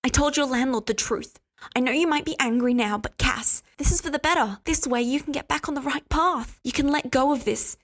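A woman's voice: high voice, normal speed, voice pushed up. A woman reading a story aloud, voicing a pious, controlling woman who talks in a sweet, syrupy, condescending way. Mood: soothing, pitying.

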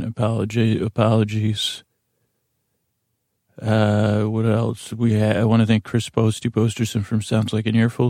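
A man with a dark, mellow and deeply creaky voice hosts a bedtime podcast. He meanders and doubles back on his sentences with many false starts. The sound is dry and close. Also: no room sound. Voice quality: gravelly, creaky. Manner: meandering, halting, self-correcting with repeated false starts. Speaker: podcast host. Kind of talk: rambling bedtime podcast intro.

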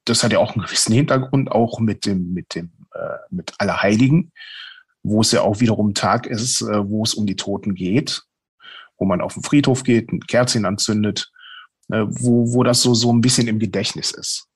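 Husky guy, lowish voice, dry close sound, interview guest explaining stuff casually. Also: normal speed, dry recording. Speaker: paranormal investigator, interview guest. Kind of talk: informal explanatory interview talk.

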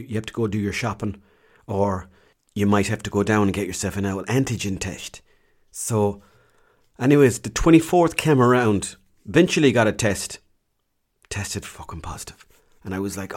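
A man's voice: fairly low pitch, darkish tone. An Irish man telling a rambling, self-mocking holiday story in casual vlog style, with slang and the odd swear. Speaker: vlogger.

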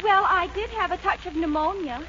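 An actress playing a devoted, gushing sweetheart in a 1940s radio comedy, with a swooning, melodramatic manner. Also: female voice, extremely high pitch, a little fast. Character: devoted, long-waiting sweetheart, gushing romantic ingenue. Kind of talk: 1940s radio sitcom acting. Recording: dull, slightly noisy 1940s radio broadcast.

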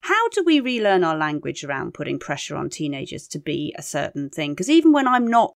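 A woman's voice: low voice, wildly swooping pitch, fairly quick, no echo, clean studio sound. A middle-aged woman co-hosting a podcast, talking things through in an earnest, discursive, conversational way. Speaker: podcast co-host, parent of teenagers. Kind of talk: conversational interviewing.

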